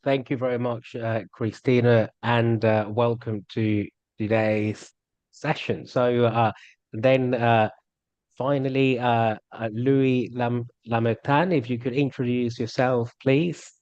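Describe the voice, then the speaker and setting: A man's voice: mid-range voice, fairly even intonation, slow, a little mellow, dry recording. A man moderating an online webinar panel, speaking hesitantly with many fillers. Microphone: video call. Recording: dull, dry video call audio.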